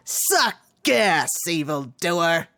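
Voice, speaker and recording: A cocky, theatrical cartoon hero, acted by a woman. The voice is bright and forceful, swinging widely as it delivers slow, showy taunts. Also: fairly low pitch, sing-song, heavily acted. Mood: defiant. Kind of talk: live comic dub.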